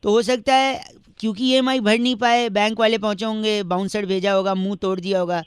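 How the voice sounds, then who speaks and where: A man's voice: high-pitched, little pitch movement, fairly quick, smooth, no echo, clean studio sound. A man making prank phone calls, mock-polite and teasing, laughing at his own jokes. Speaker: prank caller.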